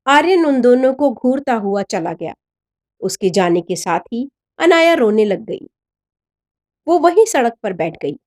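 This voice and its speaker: High-pitched woman narrating a dramatic story. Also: measured pace. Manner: expressive, voices the dialogue of characters.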